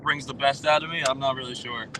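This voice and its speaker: A man's voice: mid-range voice, fairly even intonation, quick pace, bright, forceful tone, a little hiss or hum, low-quality recording. A man in his late twenties, a guest on a podcast, answering in a hesitant way with many ums and you knows. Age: 20s. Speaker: guest, pro roller hockey player. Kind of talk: casual interview chat.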